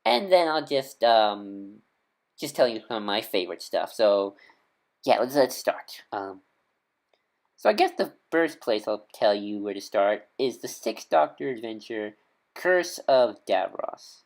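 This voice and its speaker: A man's voice: fairly low pitch, sing-song, unhurried, gravelly. A man talking casually as a video host, an enthusiastic fan who rambles with lots of ums and corrects himself mid-sentence.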